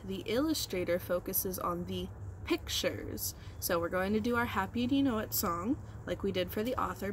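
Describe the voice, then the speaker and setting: A woman's voice: medium pitch, slight background noise. A woman teacher leading storytime for young children, explaining things simply and prompting them with questions. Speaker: early-grade teacher.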